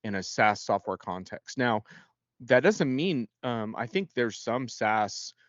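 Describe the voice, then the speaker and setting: A man's voice: mid-range voice, expressive, slow pace, no room sound, highs cut off. A man speaking as a guest analyst in an unscripted panel discussion, in a conversational way with frequent self-corrections.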